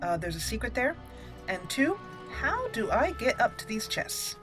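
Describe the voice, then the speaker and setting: A woman's voice: fairly high pitch, very animated, unhurried. A woman hosting a gaming let's play, chatting casually and thinking aloud as she plays. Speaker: gaming channel host, let's play commentator. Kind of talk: casual gameplay commentary. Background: game music.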